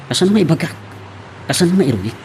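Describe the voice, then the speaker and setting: A man's voice: a little high, big pitch swings, a little fast, darkish tone, slight background noise. A man with an Ilocano accent acting a hesitant, lovesick young employee in an amateur audio drama. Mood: troubled. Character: hesitant lovesick young male employee, unsure with his boss.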